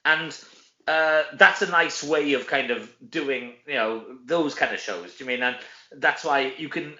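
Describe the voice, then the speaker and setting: Male, middle-aged, Welsh. Chatty, informal interview guest with a forward, bright tone; roomy, lo-fi sound. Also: medium pitch, measured pace. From Wales. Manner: chatty, informal, frequent tag questions. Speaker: guest, actor. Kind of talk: casual interview chat.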